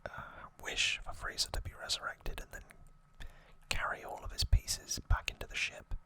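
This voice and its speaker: ASMR host, fully whispered, breathy and bright, explaining things in a hushed conversational way. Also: moderate pace, low-quality recording. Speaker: ASMR host recapping an anime series.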